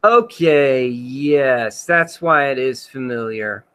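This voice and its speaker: A man's voice: mid-range voice, slow, bright, forceful tone, clear, resonant tone. A man hosting a casual livestream, rambling with many fillers while he reads out chat comments. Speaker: host.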